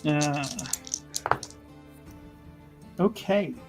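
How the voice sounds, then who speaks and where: A man's voice: on the high side, slow, over music. A man playing in a tabletop roleplaying game, improvising in character with a hesitant, roundabout, repetitive way of talking. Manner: hesitant, repeats words, roundabout.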